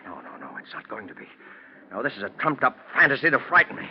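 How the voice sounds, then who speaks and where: An actor playing a guilty, frightened husband in an agitated, melodramatic style of 1940s radio drama. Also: male voice, mid-range voice, expressive, fast talker, natural voice. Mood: defensive, agitated. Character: guilty, fearful husband who murdered for an estate. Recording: muffled, noisy old radio recording.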